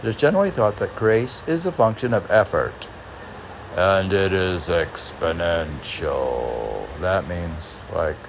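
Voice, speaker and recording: Slow, low-voiced man with creaky delivery. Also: lively intonation, background noise. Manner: halting, musing, self-questioning. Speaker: poet reading and commenting on own poems. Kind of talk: poetry reading with rambling informal commentary.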